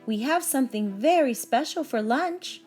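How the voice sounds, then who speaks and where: A woman voicing a gentle, affectionate mother in a children's storybook, coaxing and motherly. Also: sits high, lively intonation, normal speed, faint music behind, pitched above the performer's own voice.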